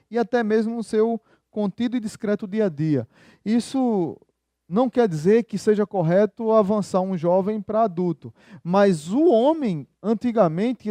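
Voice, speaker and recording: A man's voice: high voice, mellow, no echo. A male pastor preaching a sermon in a conversational style, often turning to the congregation with rhetorical questions.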